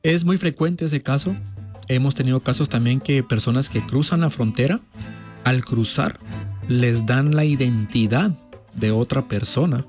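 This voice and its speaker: A man's voice: medium pitch, unhurried, a little mellow. A man, speaking as a consulate official in a radio interview, explaining things formally and patiently. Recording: faint music behind.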